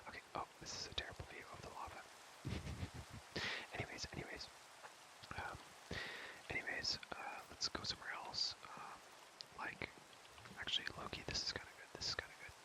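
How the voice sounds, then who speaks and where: A young man whispering close to the mic in a breathy, rambling ASMR gaming chat, softly repeating his phrases. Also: a little fast, low-quality recording. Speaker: ASMR video creator. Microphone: close ASMR microphone.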